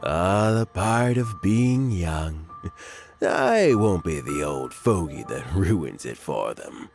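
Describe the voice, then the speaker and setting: An actor playing a genial elderly male cooking judge in a game cutscene, with a slow, chuckling, easygoing manner. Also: low-pitched, expressive, gravelly, over music, heavily acted. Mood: amused.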